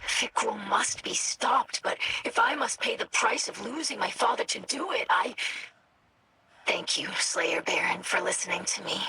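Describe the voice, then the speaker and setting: A woman's voice: mid-range voice, unhurried, sharp tone, husky, exaggerated voice, rough recording. An actress playing an earnest young female alien scholar in a video game, with careful, formal phrasing. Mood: anguished, worried, then grateful, solemn. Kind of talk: video game character dialogue.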